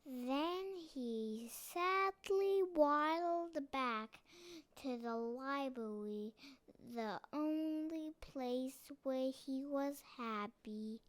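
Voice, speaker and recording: A child reading a picture book aloud carefully, phrase by phrase, with pauses. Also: sits high, fairly bright tone, no room sound. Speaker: storybook reader.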